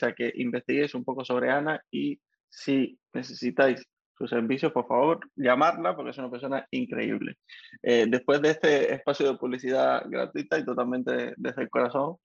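Gravelly-voiced host, dry and dull. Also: male voice, medium pitch, fairly even intonation, fast talker, no room sound. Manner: friendly, enthusiastic, with fillers. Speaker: podcast host. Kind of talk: podcast interview host.

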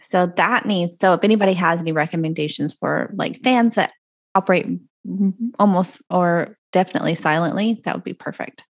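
A woman's voice: fairly low pitch, a little fast, no echo. A woman co-hosting a podcast in a casual, rambling chat, laughing often and losing her train of thought, recorded over a video call. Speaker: podcast co-host. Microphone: video call audio.

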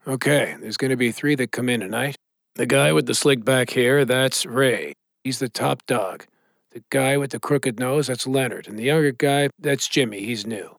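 An actor playing a streetwise, commanding gambler in trouble, giving clipped orders in a noir audio drama. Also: male voice, mid-range voice, normal speed, creaky, no echo. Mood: guarded, instructing.

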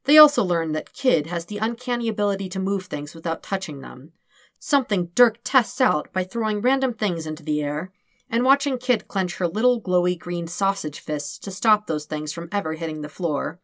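Lowish-voiced woman narrating, very dry sound. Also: animated, moderate pace, no room sound. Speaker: fan fiction audiobook narrator. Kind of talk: scripted story narration.

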